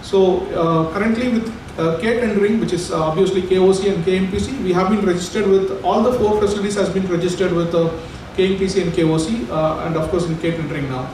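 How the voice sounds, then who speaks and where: A man's voice: high voice, fairly even intonation, quick pace, a little mellow. Hesitant man presenting in an echoey hall. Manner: hesitant, many fillers. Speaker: company presenter. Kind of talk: technical presentation. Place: hotel conference hall. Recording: echoey hall from far off, noisy.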